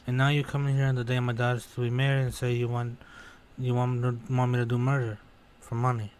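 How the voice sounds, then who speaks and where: A man doing an impression of a soft-spoken aging mob boss from a gangster film, speaking with quiet, slow, wounded dignity. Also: mid-range voice, completely flat delivery, slight background noise, close to an everyday voice. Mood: aggrieved.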